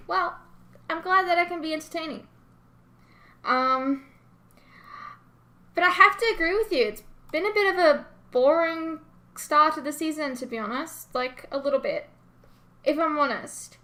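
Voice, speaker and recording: A woman's voice: sits high, bright, forceful tone. An Australian woman chatting casually to her viewers as a reaction host, informal, rambling and self-deprecating as she reads and answers comments.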